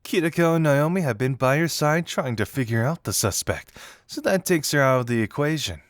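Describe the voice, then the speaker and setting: A man's voice: on the high side, animated, brisk, no echo, studio quality, lightly acted. A man playing a playful, teasing young detective in an intimate audio roleplay, talking conversationally and with amusement straight to the listener. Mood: sly, musing.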